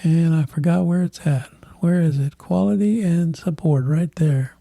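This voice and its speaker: A man hosting a tech channel, thinking aloud in halting bits while he clicks through software, with a voice that is giving out. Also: on the high side, vocal fry, no room sound. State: losing his voice. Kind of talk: casual screen walkthrough.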